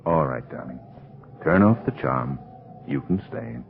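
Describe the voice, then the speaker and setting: An actor playing a bold, protective adventurer in a 1930s radio drama, terse and commanding, on a muffled old recording. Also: male voice, a bass voice, lively intonation, measured pace, creaky, faint music behind, exaggerated voice. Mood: resigned, watchful. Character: bold, brusque, protective male adventurer hunting a beast.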